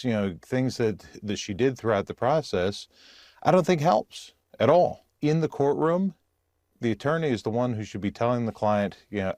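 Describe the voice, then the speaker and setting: A man speaking as a lawyer in an interview, giving measured, hesitant opinions. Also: fairly low pitch, darkish tone, dry recording, clean studio sound. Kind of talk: interview commentary.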